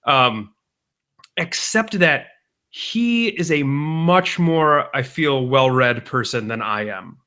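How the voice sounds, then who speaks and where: Young guy cohosting a podcast, deadpan jokey rambling, kinda bright sharp tone. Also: mid-range voice, slow. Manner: deadpan joking, rambling with restarts. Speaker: podcast co-host. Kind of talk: casual podcast banter.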